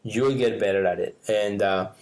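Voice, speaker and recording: A middle-aged man from Mexico with a Mexican Spanish accent, Spanish his first language, co-hosting a podcast in a lively, casual way with lots of laughs and fillers. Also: on the low side, moderate pace. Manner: animated, laughs often, many fillers. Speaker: podcast co-host.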